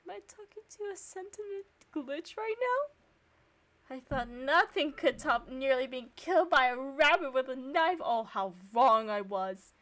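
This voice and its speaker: A woman streamer reading visual novel narration and dialogue aloud, with sarcastic, expressive reactions. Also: high voice, a little slow.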